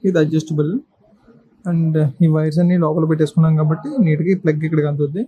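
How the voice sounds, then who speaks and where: A man's voice: a little high, flat delivery, racing, mellow, slightly rough, dull audio. A man giving a casual hands-on tutorial, explaining step by step in short instructional phrases. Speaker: presenter of a how-to video.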